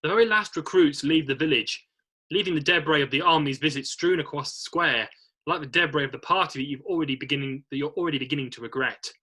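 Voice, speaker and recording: A man's voice: fairly high pitch, quick pace, bright, forceful tone, slightly rough. A man narrating, reading screenplay stage directions aloud in an amateur read-through.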